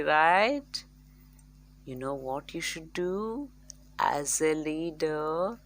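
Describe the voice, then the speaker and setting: Low-pitched woman telling children's stories slowly. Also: a bit bright. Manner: dramatic, addresses children directly. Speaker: children's storyteller. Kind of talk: expressive bedtime story narration.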